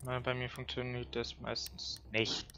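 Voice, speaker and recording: A man's voice: medium pitch, completely flat delivery, unhurried, pushed and bright. A man hosting a let's play with casual, slangy gaming commentary.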